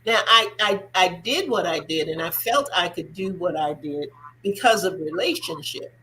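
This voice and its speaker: A woman's voice: on the low side, lively intonation, normal speed, pushed and bright, slight background noise, low-quality recording. A woman hosting a faith-based discussion show, speaking earnestly and repeating her points for emphasis. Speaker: talk show host.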